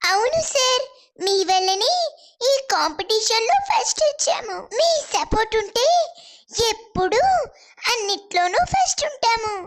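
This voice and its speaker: A woman voicing a confident, eager little schoolgirl in an animated children's moral story. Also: very high voice, unhurried, full character voice. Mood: proud, grateful.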